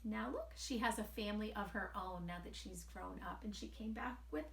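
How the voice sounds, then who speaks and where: A woman reading a children's picture book aloud in a deliberate, expressive way with emphatic pauses. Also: medium pitch, vocal fry. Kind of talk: read-aloud children's storytelling. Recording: roomy, echoing sound.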